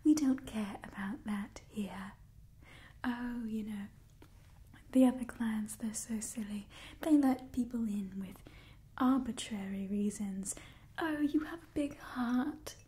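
A young woman performs an old, cold vampire matriarch in an ASMR roleplay. Her whisper is highish, mellow and breathy, delivered slowly and deliberately. She sounds haughty, theatrical and disdainful, a bitter clan leader weary of humans. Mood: dismissive, impatient. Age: young adult.

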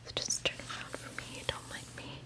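A young woman whispering close to the microphone in a casual, reassuring ASMR roleplay as a hairdresser. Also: normal speed. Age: young adult. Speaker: ASMR creator playing a hairdresser. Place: small room indoors at home. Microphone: close desktop condenser microphone.

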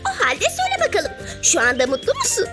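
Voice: extremely high pitch, wildly swooping pitch, quick pace, over music, exaggerated voice. A performer voicing a cheerful, wise little cartoon ladybug who teaches patiently with coaxing questions. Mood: coaxing, questioning. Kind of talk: children's cartoon dialogue.

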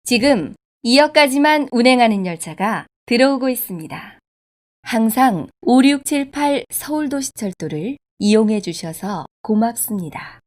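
A woman reading scripted subway station announcements in a formal, polite, measured way. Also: fairly high pitch, lively intonation. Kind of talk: scripted public transit announcement.

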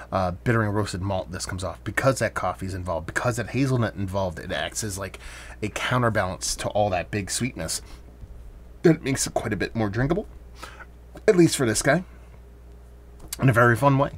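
A man hosting a casual beer review, talking in a relaxed, rambling way with plenty of fillers. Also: fairly low pitch, a little hiss or hum.

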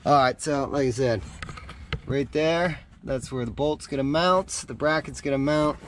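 A man's voice: medium pitch, measured pace. A middle-aged American man narrating a hands-on DIY job in a casual, conversational way. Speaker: DIY vlogger. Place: home garage and truck cab. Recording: action-camera audio with clear background noise.

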